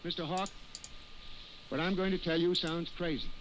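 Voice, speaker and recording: A man's voice: high-pitched, fairly even intonation, normal speed, lightly acted. An American actor playing an agitated, desperate man who insists he is sane, in old radio drama style with trembling urgency. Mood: desperate, pleading. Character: agitated, desperate accused man raving about living machines. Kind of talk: old-time radio drama acting, first-person narration and dialogue. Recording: noisy vintage radio drama recording.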